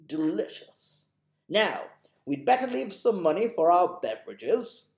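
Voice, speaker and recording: A man doing a kindly old gentleman tramp. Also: high voice, sing-song, measured pace, echoey room, voice pushed up, full character voice. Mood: fussy, genteel. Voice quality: genteel, proper old-fashioned manner.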